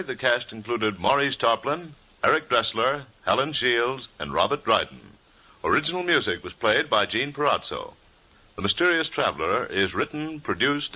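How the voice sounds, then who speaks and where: A man's voice: medium pitch, sharp tone. A man announcing formally from a script in 1940s radio style. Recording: dull old radio recording with music.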